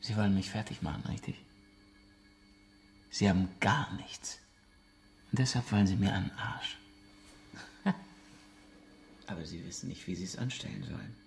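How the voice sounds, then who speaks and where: An actor playing a defiant, evasive uncle under interrogation in a naturalistic radio drama, answering curtly. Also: male voice, deep voice, normal speed, vocal fry, long pauses, a little hiss or hum.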